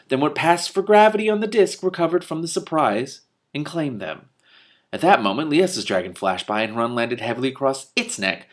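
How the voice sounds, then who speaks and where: A man reading a fantasy novel aloud, breaking in with amused asides. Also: mid-range voice. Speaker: reader and commentator.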